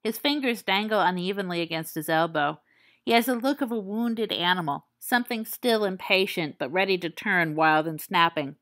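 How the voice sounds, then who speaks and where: Low-voiced woman reading a story aloud. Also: normal speed, fairly bright tone. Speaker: podfic reader. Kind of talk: audiobook reading of fan fiction.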